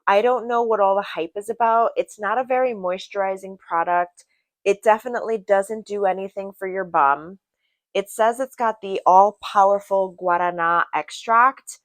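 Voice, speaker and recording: A woman's voice: mid-range voice, normal speed, dry recording. A woman from Brazil giving a casual product review to camera in a chatty, emphatic way, repeating words for emphasis. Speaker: beauty product reviewer and vlogger.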